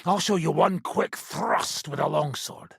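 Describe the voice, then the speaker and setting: A boisterous British sellsword and combat trainer from a video game. His voice is very hoarse and raspy, pitched fairly high for a man, and swings wildly as he swaggers and teases. The recording is dry and close. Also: unhurried, fairly bright tone, no room sound, full character voice. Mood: instructing, teasing. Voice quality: gravelly, raspy. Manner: swaggering, rough, teasing. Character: boisterous hard-drinking male mercenary swordsman, cocky and crude. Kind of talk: video game character dialogue.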